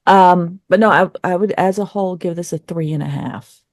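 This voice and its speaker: A woman's voice: on the low side, moderate pace, a little mellow, no echo, studio quality. A woman giving casual opinions as a podcast panelist, rambling and often interrupting herself. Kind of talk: casual review discussion.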